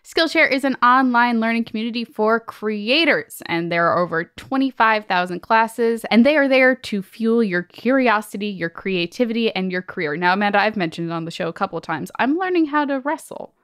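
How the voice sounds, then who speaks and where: A woman's voice: on the high side, animated, fairly quick, few pauses, a bit bright, clean tone, dry recording, studio quality. A woman co-hosting a podcast in a conversational, enthusiastic way, moving between casual chat and host-read ads.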